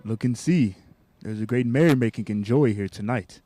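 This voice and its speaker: A man's voice: mid-range voice, moderate pace, warm tone, no room sound, soft background music, natural voice. A man acting a jolly giant Christmas spirit in a radio play, hearty and declamatory. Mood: merry. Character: jolly giant male Christmas ghost, booming and merry.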